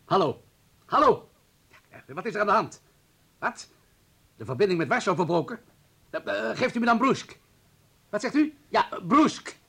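An actor in a radio play playing a fussy, deferential hospital administrator who talks in a wordy, flustered, obliging way. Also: male voice, high voice, big pitch swings, moderate pace, creaky, long pauses, a bit bright, breathy edge, full character voice. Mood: agitated. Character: fussy, deferential male hospital administrator, eager to justify himself.

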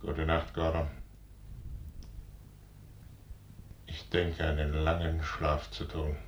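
An actor playing a proud, weary commander prince with a declamatory, commanding delivery in a classical radio drama. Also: male voice, a bass voice, completely flat delivery, measured pace, a little hiss or hum. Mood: grave, resigned. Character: proud, weary aging male commander and prince, superstitious yet defiant. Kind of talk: classical German stage drama, radio play acting.

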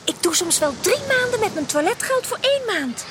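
Coquettish girlish sweetheart, super high voice, radio drama, loud background noise. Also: very animated, a little fast. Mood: coy. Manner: girlish, coy. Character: coquettish, playful, adoring young sweetheart. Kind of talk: Dutch radio drama acting. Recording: noisy radio play with loud ambient effects.

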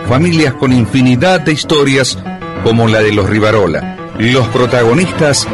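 A man giving a formal, measured scripted introduction as a radio play announcer. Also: mid-range voice, music in the background.

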